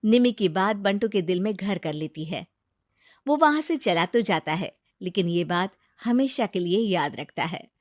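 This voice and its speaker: A woman tells a moral story at a steady, even storytelling pace, her voice at an ordinary middle pitch. The recording is dry and close, with no room sound. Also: measured pace, no echo. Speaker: narrator. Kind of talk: scripted story narration.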